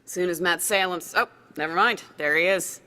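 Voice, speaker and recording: An actress playing a brisk, bossy, no-nonsense female military second-in-command in an audio drama. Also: on the low side, sharp tone. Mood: matter-of-fact.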